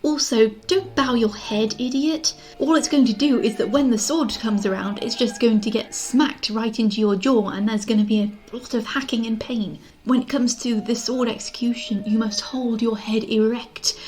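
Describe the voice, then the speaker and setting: A woman's voice: on the high side, fairly even intonation, normal speed, hardly any pauses, a little mellow. A woman delivering scripted, sarcastic film commentary with exasperated asides. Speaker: video essay host, film reviewer. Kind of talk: scripted critical commentary on screen dramas.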